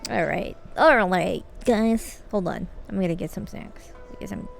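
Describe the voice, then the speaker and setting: A woman's voice: medium pitch, unhurried, vocal fry, soft background music. A woman game streamer chatting casually to her viewers on a livestream.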